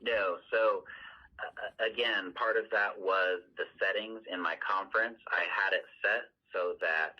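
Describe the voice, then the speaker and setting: Deep, husky man on dull audio. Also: fairly even intonation, unhurried. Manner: hesitant, placating. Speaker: school teacher. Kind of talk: explanatory conversation.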